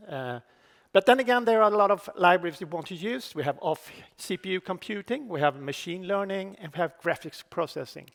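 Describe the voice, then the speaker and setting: A middle-aged man with a Swedish accent presents a technical talk on a conference stage. His voice sits fairly high with a gravelly edge, and he talks haltingly, often pausing on fillers. The sound carries a long reverb from the large hall. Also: fairly bright tone. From Sweden. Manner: halting, with frequent "uh" fillers and restarts. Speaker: conference speaker.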